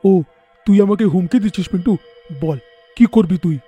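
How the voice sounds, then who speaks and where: Man voicing a high-pitched indignant chicken farmer. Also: a little fast, warm tone, slightly rough, faint music behind. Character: righteous, protective chicken farmer, later a vengeful ghost. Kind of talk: animated horror folk-tale dub.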